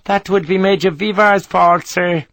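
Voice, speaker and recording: A man's voice: high voice, fairly even intonation, unhurried, a bit bright, no echo, pitched above the performer's own voice. A man voicing a big, blunt Irish rifleman who talks in salty soldier's slang, with an Irish accent. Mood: wry. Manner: gruff, salty soldier's talk.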